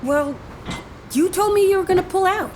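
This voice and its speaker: A woman's voice: very high-pitched, moderate pace, noisy background. A woman playing a flippant, unrepentant lover in an audio drama, with a breezy, casual, needling manner. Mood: defensive, flippant. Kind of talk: naturalistic audio drama acting.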